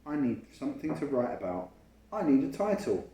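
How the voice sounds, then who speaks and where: An eager young classmate from a picture book, voiced by a man. His expressive delivery has very varied pitch, with echo from the room. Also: normal speed, natural voice. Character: eager schoolboy classmate fond of hats. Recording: roomy, echoing home recording.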